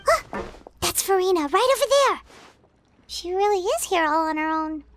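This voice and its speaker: Tiny childlike fairy sidekick, very high and squeaky, swooping intonation, cheerful know-it-all, video game. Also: female voice, animated, moderate pace, background noise. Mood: concerned. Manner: childlike, squeaky, speaks of herself in third person. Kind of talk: English game dub voice acting.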